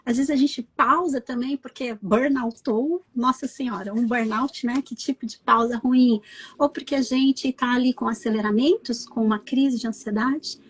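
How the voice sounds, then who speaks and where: A woman runs a live online talk in a lively, conversational way. Her voice sits high and has a breathy, husky edge. She often turns to her audience and urges them to share. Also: normal speed. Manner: lively, addresses the audience directly and invites participation. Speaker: host of a live stream.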